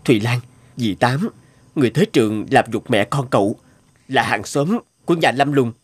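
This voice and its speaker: A man's voice: medium pitch, animated, moderate pace, slightly rough, no room sound. An actor playing an earnest, hesitant grown man in a cartoon dub. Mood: remorseful. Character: earnest, hesitant adult man, former unruly schoolboy. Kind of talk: cartoon dubbing.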